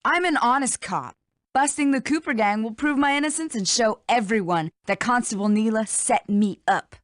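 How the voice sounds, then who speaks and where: An actress playing a tough, proud fox police inspector in a video game, brisk and self-assured. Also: female voice, a little high, dry recording, studio quality, close to an everyday voice. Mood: tough, resolute.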